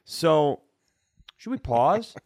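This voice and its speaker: A man's voice: fairly high pitch, big pitch swings, drawn-out words, darkish tone, no room sound, studio quality. American man telling a casual comic story. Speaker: comedy podcast co-host.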